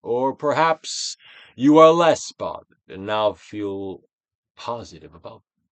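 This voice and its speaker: A man giving a dramatic, Shakespearean-style reading of a self-help book, deliberate and theatrical, with drawn-out emphasis. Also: expressive, no echo. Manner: theatrical Shakespearean delivery, swinging from hushed to booming. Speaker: audiobook reader.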